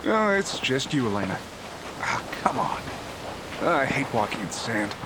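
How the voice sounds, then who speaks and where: A man's voice: medium pitch, very animated, unhurried, vocal fry, noisy background. A man playing a playful, sarcastic young treasure hunter who jokes through his audio drama dialogue. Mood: teasing. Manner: sarcastic, joking.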